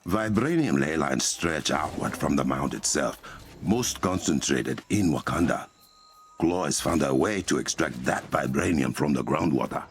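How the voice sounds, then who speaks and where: An actor playing a stern young African warrior king in a video game, speaking formally with measured command. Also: a bass voice, lively intonation, slight background noise. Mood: composed, authoritative. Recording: game music behind the voice.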